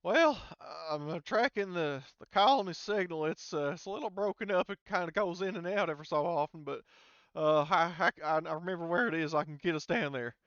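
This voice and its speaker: Man playing a halting male spaceship pilot, higher-pitched. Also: a bit bright, clean tone, no echo, lo-fi sound. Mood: casual, unsure. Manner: halting, rambling delivery. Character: easygoing male spaceship pilot, game character.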